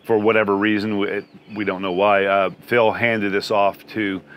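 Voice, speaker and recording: A man's voice: on the low side, little pitch movement, moderate pace, a bit bright, a little hiss or hum. A man in his sixties, interviewed outdoors beside a travel trailer, answering in a friendly, rambling way with many restarts.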